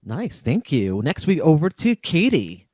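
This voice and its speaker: A man's voice: on the high side, wildly swooping pitch, a little slow, darkish tone, no room sound, studio quality. A man hosting a roundtable, casually moderating and handing over between guests. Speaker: host of a voice actors roundtable.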